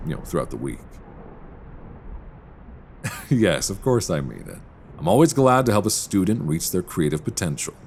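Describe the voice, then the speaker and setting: Man acting a very deep, gravelly, teasing professor. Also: slight background noise. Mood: friendly, reassuring. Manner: soft teasing, hesitant apologetic stammers. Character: charming, teasing male English professor, mild-mannered but flirtatious. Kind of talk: intimate roleplay audio drama. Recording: binaural recording with faint background ambience.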